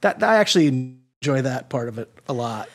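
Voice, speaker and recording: A man's voice: medium pitch, big pitch swings, fairly quick, music in the background. A man speaking as a guest expert in a casual interview, giving easygoing, reassuring advice. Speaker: guest expert, writer and audio drama maker.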